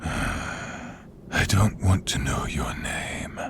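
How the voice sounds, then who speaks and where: A man voicing a contemptuous, menacing undead warlord with a gravelly, breathy rasp in a scripted fantasy roleplay. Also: extremely low pitch, lively intonation, background noise, full character voice. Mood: sneering. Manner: menacing, slow, theatrical. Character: contemptuous undead male warlord with a bitter grudge against knights.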